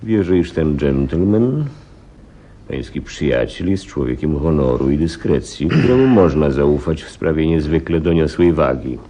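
A man acting an imperious, secretive king in a radio play, speaking formally and gravely with a gravelly rasp. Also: a bass voice, expressive, moderate pace, warm tone. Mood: grave, haughty. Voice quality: gravelly, raspy. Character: imperious, secretive male king posing as nobleman.

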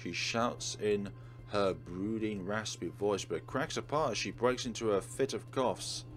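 A man's voice: fairly low pitch, measured pace. A man hosting a let's play, reading a visual novel aloud dramatically with casual commentary. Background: game music.

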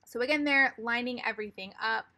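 A woman calmly explaining each step as she narrates a card-making tutorial. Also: fairly high pitch, a little fast. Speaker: craft tutorial host.

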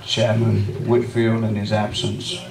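A middle-aged man, a visiting pastor, opening his sermon and praying from the pulpit with a measured cadence and frequent amens. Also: on the low side, monotone, crowd noise. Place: wood-paneled church sanctuary. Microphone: lectern microphone, camera at back of room. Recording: echoey room, distant camera, congregation responses.

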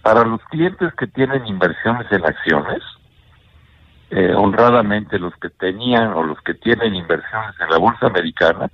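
An older man with a Mexican accent, a bank executive giving measured investment advice on a radio interview, often ending points with a questioning tag. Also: fairly low pitch, animated, husky, dry recording, dull audio. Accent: Mexican Spanish.

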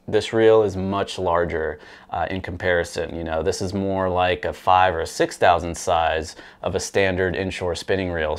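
A man in his thirties giving a relaxed, conversational review of fishing gear to the camera, with frequent fillers. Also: low-pitched. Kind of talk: casual product review to camera. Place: small indoor room with rod racks.

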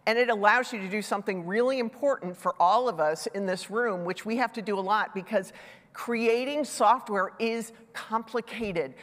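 A woman's voice: medium pitch, fairly bright tone. Middle-aged lady giving a talk on a big stage, chatty, bit stuffy from a cold, hall echo. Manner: conversational, self-deprecating asides. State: congested from a sinus infection. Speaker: conference speaker. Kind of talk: conference talk. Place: large dark stage hall. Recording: reverberant conference hall.

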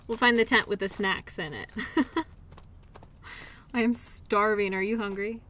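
A woman's voice: mid-range voice, fairly even intonation, unhurried, a bit bright, close to an everyday voice. A woman playing a caring, upbeat girlfriend in an intimate roleplay audio, talking in a soothing, playful, encouraging way. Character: caring, upbeat girlfriend comforting an injured partner.

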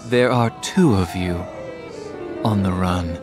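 An actor playing a grandiose, poetry-obsessed former soldier in a video game, speaking in a measured, reciting way. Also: male voice, sits low, over music. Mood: solemn. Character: theatrical male former soldier, obsessed with poetic prophecy. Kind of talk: English video game dub acting.

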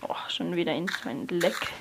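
A young person, likely a teen, giving hesitant gameplay commentary, often correcting themselves and dropping to a near whisper. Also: female voice, on the low side, normal speed. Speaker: let's player. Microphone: phone screen recorder mic. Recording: noisy lo-fi phone recording.

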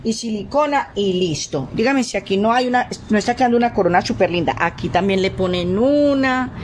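A woman's voice: medium pitch, a little fast, darkish tone, a little hiss or hum. A middle-aged Colombian woman chatting casually through a craft demonstration, affectionate and repetitive, full of endearments and diminutives. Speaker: craft tutorial host.

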